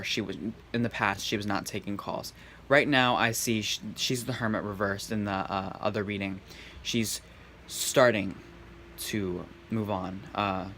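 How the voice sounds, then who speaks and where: A man giving a casual tarot reading in a calm, serene, monotone way. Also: lowish voice. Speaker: tarot reader. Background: faint background noise.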